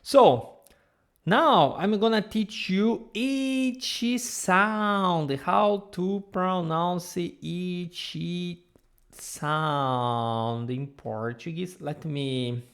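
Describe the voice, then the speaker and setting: A woman's voice: fairly low pitch, drawn-out words. A Brazilian woman with a Brazilian accent teaching Portuguese in a live online lesson, patiently repeating sounds for students to copy. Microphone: camera mic.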